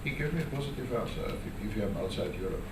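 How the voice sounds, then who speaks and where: A low-voiced man speaks haltingly on a panel and tells anecdotes between fillers. He is recorded from far off in an echoey hall with noise behind him. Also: animated. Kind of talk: panel discussion. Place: conference hall. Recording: echoey conference hall from a distance, background noise.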